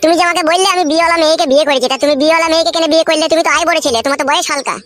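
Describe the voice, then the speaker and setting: A man's voice: extremely high pitch, fairly even intonation, racing, a bit bright. A man voicing a generous, inquisitive village householder in a comic cartoon, insistent and chatty. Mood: curious, probing. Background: music.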